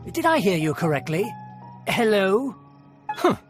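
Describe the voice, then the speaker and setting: A man's voice: high voice, very animated, a little slow, over music. A man playing a pompous, contemptuous nobleman in a video game, speaking formally and with disdain. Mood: haughty, disdainful. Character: haughty, pompous male nobleman, contemptuous and indignant. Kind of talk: theatrical video game voice acting.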